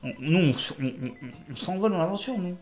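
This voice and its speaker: A man's voice: fairly high pitch, expressive, fast talker, a little mellow, echoey room, soft background music. A man with a cold commenting casually on a video game as he plays, joking and talking to himself and the characters. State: has a cold. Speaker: gaming YouTuber. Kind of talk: casual let's-play commentary.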